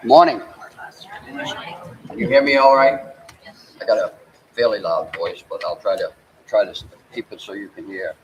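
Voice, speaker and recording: An older man moderating a town meeting, folksy and halting, often repeating words as he lays out the rules. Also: fairly low pitch, dull audio. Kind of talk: addressing a public meeting. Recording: distant mic, faint room noise.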